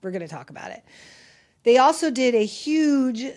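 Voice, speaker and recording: A woman's voice: a little high, sing-song, normal speed, a little mellow. Chatty woman host with a slight lisp. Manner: chatty, sarcastic, rambling asides. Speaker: podcast host. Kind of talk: casual solo podcast chat.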